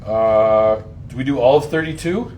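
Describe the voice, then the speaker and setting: A man leading an informal Bible lesson, putting questions to his listeners in a slangy, jokey way and acting out lines loudly. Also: medium pitch, normal speed. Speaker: Bible study host and teacher. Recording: voice over clear background noise.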